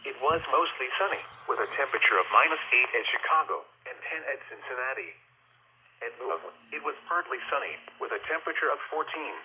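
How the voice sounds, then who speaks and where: A man's voice: mid-range voice, lively intonation, quick pace, pushed and bright, slight background noise, low-quality recording. A man reading a scripted weather bulletin in a stiff, impersonal, segmented way, heard through a radio speaker.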